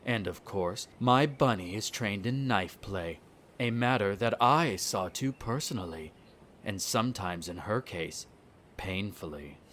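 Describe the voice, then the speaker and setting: A man's voice: on the low side, animated, measured pace, fairly bright tone, a little hiss or hum. A man playing a smug, cruel vampire noble in an audio roleplay, speaking in a haughty, condescending, formal drawl. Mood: proud, cold. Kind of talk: scripted audio roleplay acting.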